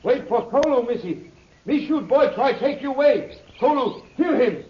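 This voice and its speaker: A man's voice: very high-pitched, animated, moderate pace, husky, full character voice. An actor playing a loyal native male jungle guide who speaks broken pidgin English in an old-time radio serial. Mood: reassuring. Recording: dull lo-fi old radio recording.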